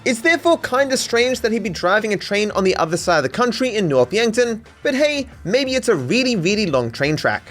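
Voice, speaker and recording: A man's voice: high-pitched, very animated, a little fast, few pauses, fairly bright tone, faint music behind. A man with an Australian accent narrating a scripted comedic video essay with dry, mock-serious sarcasm.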